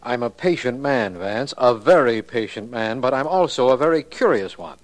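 A man's voice: medium pitch, expressive, moderate pace, faint music behind, natural voice. Guy acting curious old-time radio DA, medium voice, earnest, pushes his questions hard. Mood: patient but curious. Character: earnest, curious male district attorney, detective's sidekick. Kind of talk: 1940s radio drama acting.

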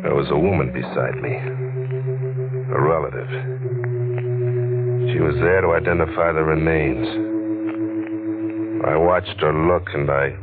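A man's voice: rumbling low, unhurried, long pauses. An actor playing a world-weary, hard-boiled crime newspaperman in a 1940s radio drama, terse and weary in delivery. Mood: weary, brooding. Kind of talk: 1940s radio noir acting and narration. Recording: muffled vintage radio, music behind.

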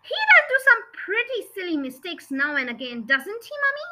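A woman voicing a young daughter in a storybook, polite, innocent-sounding and quietly sly. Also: very high voice, very animated, brisk, background noise, pitched above the performer's own voice. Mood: politely helpful.

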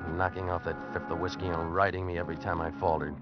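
Man playing low-voiced, desperate American fugitive. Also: little pitch movement, fast, over loud music, lightly acted. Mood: grim narrating. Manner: intense, dramatic, agitated pleading. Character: desperate American fugitive narrating his ordeal. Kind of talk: 1940s-50s radio drama narration and acting. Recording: muffled old radio recording.

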